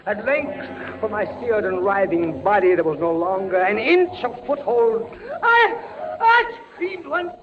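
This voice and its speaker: A man's voice: high voice, sing-song, a little slow. An actor playing a tormented prisoner recounting his agony in fevered, melodramatic 1940s radio style. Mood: anguished terror. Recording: noisy dull 1940s radio recording.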